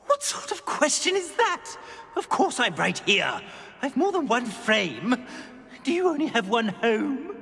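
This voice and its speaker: Game role: haughty, blustering talking portrait of a wizard informant, hugely animated pitch, long reverb. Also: male voice, measured pace, gravelly, husky, full character voice. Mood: outraged. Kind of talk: scripted game acting.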